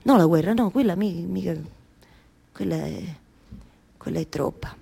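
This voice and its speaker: An actress playing a weary, talkative weaver wife in a theatrical monologue, speaking colloquially with a Roman accent and repeating phrases haltingly. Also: female voice, fairly low pitch, creaky, dark tone, breathy edge, full character voice. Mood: coaxing. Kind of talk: theatrical monologue for radio theatre with live music.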